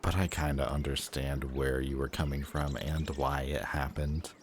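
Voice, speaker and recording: A man's voice: a bass voice, flat delivery, normal speed, a little hiss or hum. A man playing a reformed antihero and affectionate best friend in an intimate audio roleplay, speaking to the listener in a hesitant, confiding, conversational way. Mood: remorseful, sincere.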